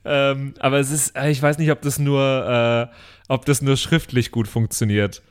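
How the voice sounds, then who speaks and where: A man's voice: mid-range voice, little pitch movement, moderate pace, smooth. Casual man chatting among players. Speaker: tabletop role-playing show player. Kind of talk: casual group chat.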